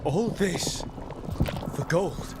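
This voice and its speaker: An actor playing a stern, guarded adventurer in a fantasy video game, terse and reproachful. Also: male voice, high voice, animated, drawn-out words, very noisy, exaggerated voice. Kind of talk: dramatic video game acting.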